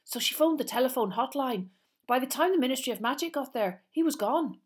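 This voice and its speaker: A woman voicing an excited, gossipy schoolboy in an audiobook, chattering eagerly and emphatically. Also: high voice, brisk, pitched above the performer's own voice.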